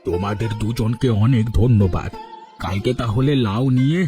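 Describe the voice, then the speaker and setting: A man voicing a gentle, low-voiced old teacher. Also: moderate pace, music in the background, lightly acted. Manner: gentle, calm, pleading. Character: patient, humble old village schoolteacher. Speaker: old village schoolteacher. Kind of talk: cartoon voice acting.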